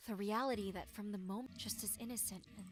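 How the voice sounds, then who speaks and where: A woman playing an earnest, suffering girl in a horror visual novel, wistful and hopeful in a halting, dramatic way. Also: mid-range voice, steady tone, natural voice. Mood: troubled.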